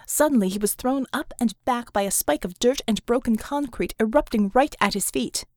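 A woman's voice: fairly high pitch, moderate pace, a little mellow, no echo, studio quality. A woman narrating action fiction as an audiobook, reading scripted prose dramatically.

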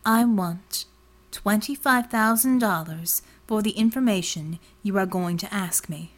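A woman voicing a cryptic, demanding informant in an audiobook, delicate and breathy, speaking in a carefully neutral, measured way. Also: mid-range voice, natural voice. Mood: coolly neutral. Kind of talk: audiobook character dialogue.

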